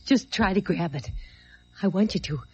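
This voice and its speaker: A woman's voice: on the low side, expressive, moderate pace, dark tone, a little hiss or hum, lightly acted. An actress playing a bitter, hard-boiled young woman out for revenge in an old-time radio drama, tough and world-weary. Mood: bitter, menacing. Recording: dull old radio recording.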